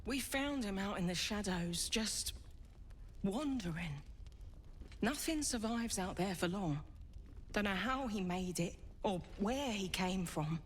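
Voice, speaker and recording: A woman's voice: mid-range voice, animated, takes it slowly. A woman playing a wary scout in a video game, speaking plainly in clipped phrases, with faint background noise. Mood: grim, puzzled. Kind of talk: video game character acting.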